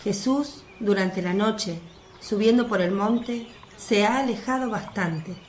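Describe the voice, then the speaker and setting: A woman's voice: mid-range voice, slow. A woman reading scripted narration for a devotional radio play in Spanish-language storytelling style. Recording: echoey, faint noise with birdsong. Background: birdsong and outdoor ambience.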